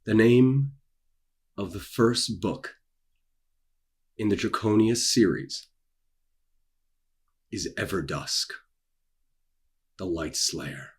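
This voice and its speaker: A young man with a fairly low, husky voice talks in a casual vlog style. His delivery is theatrical, leaning on repeated words and dramatic pauses for emphasis. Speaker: author and video creator. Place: small dark room indoors.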